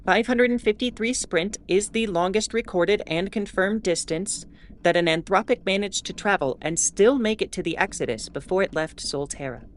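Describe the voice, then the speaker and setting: A woman playing a wry, mildly exasperated starship announcer in a scripted audio drama, explaining in a measured way with asides. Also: medium pitch, slight background noise, lightly acted. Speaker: host.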